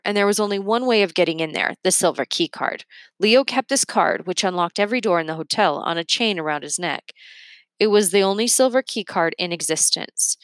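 A woman reads a children's story aloud in a slightly low voice. The sound is close and dry, and she narrates in a storytelling style. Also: fairly bright tone, dry recording. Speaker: reader of a children's book.

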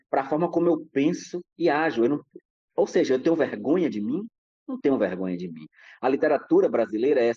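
A man's voice: mid-range voice, dark tone, no echo, highs cut off. A Brazilian man, a podcast guest, speaking reflectively with many restarts and fillers. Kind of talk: reflective casual talk.

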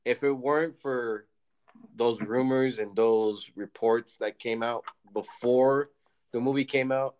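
A man's voice: mid-range voice, steady tone, slow, a little mellow, clean tone, poor audio. A man co-hosting a podcast who chimes in briefly and keeps the conversation moving. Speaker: podcast co-host. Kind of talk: casual movie discussion.

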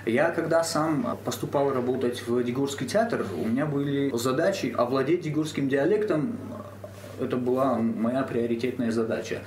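A man, a theatre actor, speaking earnestly and deliberately in a panel discussion, pausing with hesitations. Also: mid-range voice, a little mellow. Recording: echoey room, faint background hum.

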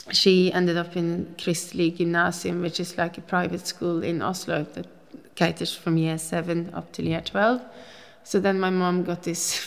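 A woman in her fifties with a Norwegian accent talks in a rather low voice. She chats freely in a casual interview and rambles through her recollections. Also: gravelly. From Norway. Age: 50s. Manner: chatty, rambling with restarts and laughs.

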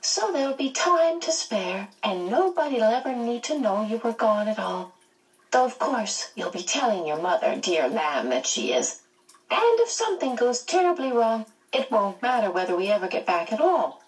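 A woman's voice: fairly high pitch, very animated, normal speed, distant mic, pitched above the performer's own voice, rough recording. A woman voicing a cheerful, chatty, motherly old woman from another world in a storybook, fussing and reassuring. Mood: cheerful, soothing. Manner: fussy, reassuring, rambling.

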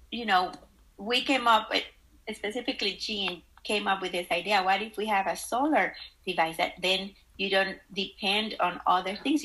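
A woman from the Dominican Republic talks as a podcast guest, her voice bright with a husky edge. She tells her stories earnestly, stringing thoughts together with "um" and "and so". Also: mid-range voice, low-quality recording. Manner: earnest storytelling with many "um" and "and so". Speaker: company co-founder, guest. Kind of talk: casual podcast interview.

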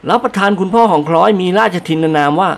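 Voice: medium pitch, fast, vocal fry, pitched above the performer's own voice, lightly acted. A reader voicing a humble, deferential male servant answering his masters politely in an audiobook.

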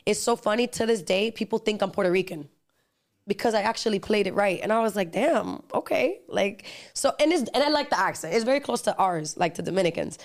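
A woman's voice: mid-range voice, expressive, fairly quick. A young woman guest in a casual interview, talking animatedly with lots of "like" and dropping in Spanish phrases. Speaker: guest, singer and actress.

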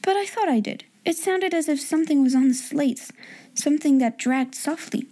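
Woman doing a hushed, whispery young girl. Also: sits high, normal speed, pitched above the performer's own voice, close to an everyday voice. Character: spirited young girl in an attic.

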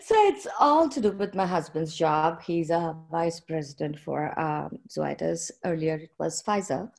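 A woman's voice: low voice, a little slow, vocal fry, a little mellow. A woman, a filmmaker guest talking freely with frequent fillers and small laughs. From India. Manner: chatty, with frequent fillers and light laughter between remarks. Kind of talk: conversational interview.

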